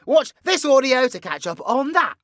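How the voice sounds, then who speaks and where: A man's voice: extremely high pitch, very animated, moderate pace, bright, forceful tone, no echo, studio quality, voice pushed up, full character voice. A British man voicing a manic, cheeky, foul-mouthed supervillain in an audio roleplay, with a theatrical, gleeful, mocking delivery. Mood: manic, breathless recap. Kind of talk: audio roleplay character monologue.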